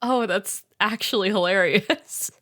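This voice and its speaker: A woman hosting a casual interview chat, giggly and full of expressive interjections. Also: medium pitch, measured pace. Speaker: show host, interviewer. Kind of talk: casual chat, reacting to guest.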